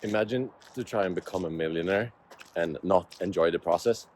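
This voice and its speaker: Low-voiced guy in his 20s, casual reflective vlog chat. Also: brisk, a little mellow, slight background noise. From Sweden. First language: Swedish. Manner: reflective, musing, self-motivational. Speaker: vlogger. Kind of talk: casual vlog talk to camera.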